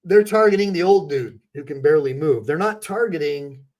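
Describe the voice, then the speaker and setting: A man's voice: fairly high pitch, lively intonation, a little fast, darkish tone. A man who is an attorney, hosting a casual livestream and talking straight to his viewers, repeating points emphatically with fillers and restarts. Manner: talks straight to viewers, reads chat aloud.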